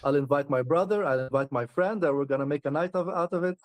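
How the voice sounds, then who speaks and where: A man's voice: on the high side, fairly quick, dry recording. A man chatting casually as an interview guest, often laughing and restarting his sentences. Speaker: traveler guest.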